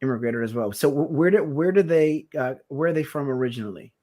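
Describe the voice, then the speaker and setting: Man from the Caribbean, podcast co-host; loose, rambling questioner, mid-pitched. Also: expressive, fast talker, warm tone. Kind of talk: casual interview chat.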